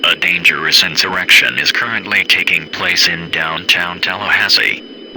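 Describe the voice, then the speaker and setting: A man's voice: sits low, pushed and bright. A man reading a scripted emergency alert announcement in a formal, impersonal manner. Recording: faintly noisy alert broadcast.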